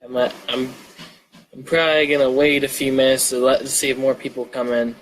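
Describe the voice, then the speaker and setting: A man's voice: medium pitch, little pitch movement, a little fast, slight background noise, poor audio. A man chatting casually on a livestream, rambling and muttering to himself as he goes. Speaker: live streamer.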